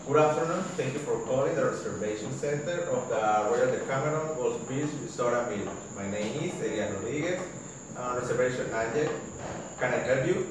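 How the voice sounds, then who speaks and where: A man's voice: mid-range voice, animated, fairly quick, close to an everyday voice. Young guy doing a polite hotel desk agent, formal and scripted, recorded far off in an echoey noisy room. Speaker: student. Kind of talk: classroom role-play phone call. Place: large classroom, indoors. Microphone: far camera.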